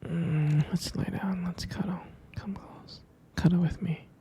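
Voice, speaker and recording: Man acting as tender boyfriend, ASMR roleplay, very dark mellow tone, slow half-whispered murmur, affectionate. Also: high voice, fairly even intonation, heavily acted. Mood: tender, adoring. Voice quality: breathy, husky whisper. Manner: slow, hesitant, affectionate murmuring with pet names. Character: tender, doting vampire-romance boyfriend soothing partner to sleep.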